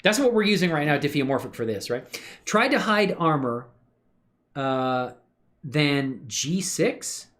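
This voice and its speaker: Casual man with very lively, swinging pitch. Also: measured pace. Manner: laid-back, slangy, appreciative, thinks aloud. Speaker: livestream host showing 3D animation work. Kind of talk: casual chat with live viewers.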